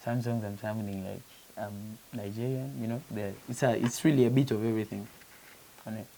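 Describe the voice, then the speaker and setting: Man from Uganda, musician guest, gravelly and dark-toned, earnest, faint hiss. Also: on the low side, steady tone, a little fast, low-quality recording. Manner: earnest, repeats and restarts phrases, says "you know" often. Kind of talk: casual interview talk.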